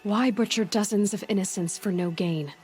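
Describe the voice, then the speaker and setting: A woman's voice: mid-range voice, moderate pace, a little mellow, close to an everyday voice. A woman playing a defiant young huntress, caged and unflinching, in a video game.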